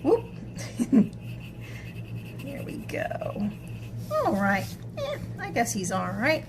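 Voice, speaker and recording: A woman teaching an art project to children step by step, chatting casually, thinking aloud and checking in with little tag questions, with clear background noise. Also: fairly high pitch, wildly swooping pitch, very slow.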